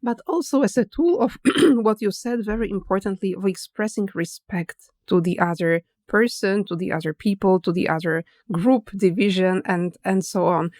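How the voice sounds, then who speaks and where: A woman's voice: medium pitch, normal speed, no room sound. A woman hosting a podcast interview, asking questions and sharing her own stories, laughing along with her guest. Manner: warm, laughs along, many fillers. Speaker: podcast host. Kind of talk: conversational interview.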